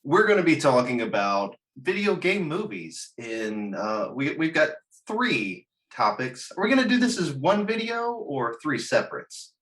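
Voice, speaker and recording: A man hosting a casual group chat about pop culture, joking and quick to laugh. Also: fairly high pitch, very animated, fairly bright tone. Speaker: host of a gaming chat show.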